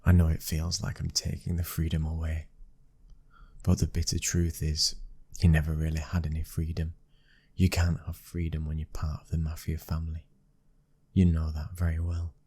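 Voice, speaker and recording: A British man playing a young mafia boss's son, a protective, controlling husband, in an intimate ASMR roleplay, with a husky, breathy voice and a commanding manner. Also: rumbling low, monotone, normal speed, warm tone, full character voice. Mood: urgent, protective. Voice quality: husky, breathy, sometimes dropping to a whisper. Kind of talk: ASMR boyfriend roleplay audio drama.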